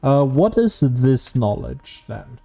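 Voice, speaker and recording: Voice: medium pitch, big pitch swings, takes it slowly, vocal fry, mellow. A streamer giving casual, playful live commentary while playing a video game, reacting to what happens. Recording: faint game music behind.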